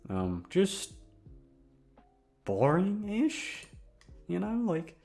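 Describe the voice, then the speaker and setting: A man's voice: sits high, wildly swooping pitch, drawn-out words, creaky, darkish tone. A young man rambling in a casual chat, with a goofy, self-deprecating and sweary way of talking. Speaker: audio content creator. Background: background music.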